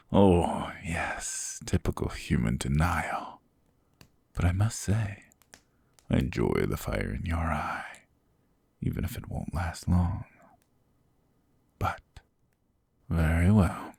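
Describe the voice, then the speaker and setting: A man voicing a cold, sadistic, possessive demon king in an ASMR roleplay, talking slowly and mockingly in gravelly whispers close to the mic. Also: low-pitched, darkish tone, dry recording, exaggerated voice. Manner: slow, mocking, condescending. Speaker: voice actor.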